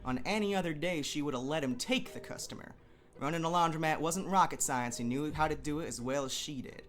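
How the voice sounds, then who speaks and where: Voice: mid-range voice, a little fast, a bit bright, faint music behind. A narrator giving a wry, theatrical dramatic reading of a scripted story.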